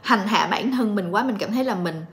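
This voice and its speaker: A woman in her late twenties chatting calmly and directly to viewers in a casual home vlog. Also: medium pitch, fairly quick. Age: late 20s. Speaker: vlogger and story reader. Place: living room at home.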